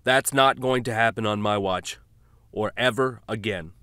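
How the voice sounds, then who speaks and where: A man playing a solemn American president giving a measured, resolute address in an audiobook. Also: fairly low pitch, sharp tone, no room sound, clean studio sound. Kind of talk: political address.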